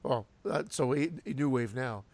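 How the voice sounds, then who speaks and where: A man's voice: medium pitch, moderate pace, a little mellow. A man co-hosting a casual podcast, cutting in with jokes. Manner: jokey interjections. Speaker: podcast co-host. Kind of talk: casual chat.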